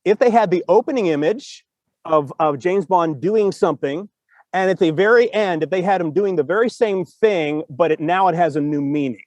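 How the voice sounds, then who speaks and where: A man with a somewhat high voice talks as a podcast host. He explains things casually in a rambling, animated way, voicing reactions as he goes. The sound is very dry, with no room to it. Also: moderate pace, no room sound. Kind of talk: casual discussion of storytelling. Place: remote video call.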